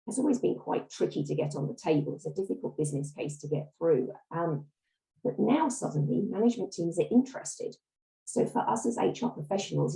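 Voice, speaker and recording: A woman presenting to colleagues over a video call, her voice hoarse and croaking from a cold. She pitches low with a gravelly edge and a mellow tone, and she clears her throat as she goes. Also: rough recording. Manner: clears throat often, apologetic. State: hoarse, under the weather. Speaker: guest speaker, reward consultant. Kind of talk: webinar presentation.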